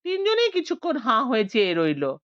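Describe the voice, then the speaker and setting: A woman reads a story aloud with dramatic expression. Her voice sits somewhat high and moves in a lively, animated way. The recording is close and dry with a slightly dull, lo-fi sound. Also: moderate pace, no echo. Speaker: narrator. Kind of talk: audiobook storytelling.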